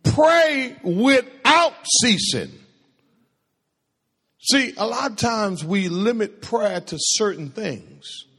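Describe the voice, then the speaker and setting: Husky-voiced preacher, slow and animated. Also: male voice. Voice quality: calls his own voice a baritone. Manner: preachy, asks the congregation questions and answers them. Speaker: pastor. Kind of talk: call-and-response sermon. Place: church sanctuary. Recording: long hall reverb.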